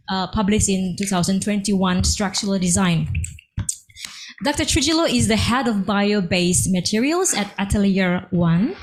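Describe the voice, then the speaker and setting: A woman's voice: mid-range voice, a little mellow, rough recording. A woman moderating an online course, carefully reading a speaker's biography with hesitant fillers. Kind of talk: formal introduction of a speaker.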